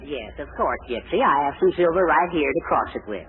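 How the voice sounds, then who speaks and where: A man's voice: a little high, lively intonation, quick pace, close to an everyday voice. A man playing a timid, sheepish middle-aged husband and father, hesitant and mild, in a 1940s radio comedy. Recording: noisy, tinny 1940s radio broadcast.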